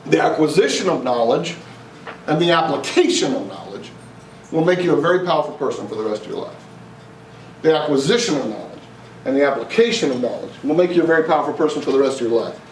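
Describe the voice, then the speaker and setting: A middle-aged man with a Texan drawl speaks to a seminar audience in a folksy way, asking the room question after question. His voice sits a bit high and is picked up from a distance in a big echoing room. A faint hiss runs under it. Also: animated, fast talker. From East Texas. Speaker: investment speaker. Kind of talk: live seminar talk to an audience. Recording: roomy, distant, faint noise.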